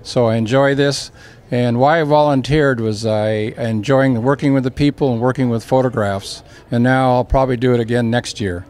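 Smooth-voiced older American man. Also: medium pitch, steady tone, moderate pace, a little mellow. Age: 60s. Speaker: volunteer and photographer. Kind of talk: unscripted interview talk. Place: indoor exhibit hall. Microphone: handheld microphone.

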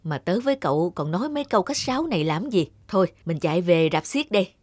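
An actor playing a cheerful, loyal young circus performer in a cartoon, breezy and reassuring. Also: male voice, high-pitched, lively intonation, quick pace, darkish tone, no room sound.

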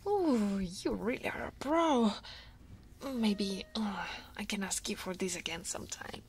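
A woman from Italy voicing a guarded but teasing female dragon office senior in a soft, breathy ASMR roleplay. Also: medium pitch, a little slow, a little hiss or hum, natural voice. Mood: relaxed, pleased. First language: Italian. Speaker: voice actor.

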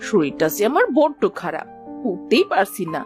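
A woman's voice: medium pitch, sing-song, normal speed, music in the background, close to an everyday voice. A woman playing an unwell, grateful village auntie in a Bengali cartoon story, plaintive and kindly. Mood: ailing, grateful.

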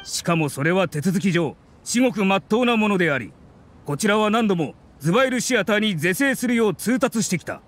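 An actor playing a haughty, scornful academy scholar in an anime game, speaking in a formal, condescending, accusatory way. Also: male voice, sits high, animated, a little fast, fairly bright tone. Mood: stern, accusing.

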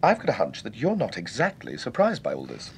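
An actor playing a shaken, insistent witness in a British radio serial, earnest and halting, with Received Pronunciation. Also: male voice, fairly low pitch, wildly swooping pitch, gravelly, a little hiss or hum, exaggerated voice. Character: shaken, insistent well-bred male witness under questioning.

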